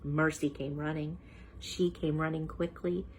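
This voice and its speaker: A woman storyteller reading a children's book aloud in an animated style. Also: low-pitched, a little slow, breathy edge, poor audio.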